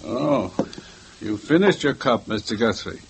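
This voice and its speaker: Polite stammery old shopkeeper, old radio play, bit of hiss. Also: male voice, medium pitch, expressive, unhurried, vocal fry. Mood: courteous, fussy. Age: older. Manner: hesitant, courteous, stammering. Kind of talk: 1950s radio drama acting.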